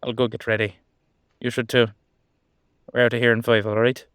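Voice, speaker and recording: A man's voice: mid-range voice, little pitch movement, normal speed, fairly bright tone, smooth, dry recording, studio quality, close to an everyday voice. A man playing a cheeky, easygoing immortal headless horseman in a roleplay audio drama, talking in a casual, rambling, reassuring way. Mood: playful, casual.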